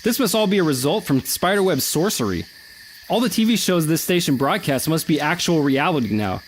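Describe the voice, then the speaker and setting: A man playing an excitable, geeky adventurer in a comedy audio drama, chatty and enthusiastic. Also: fairly high pitch, brisk, slight background noise, lightly acted. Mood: intrigued.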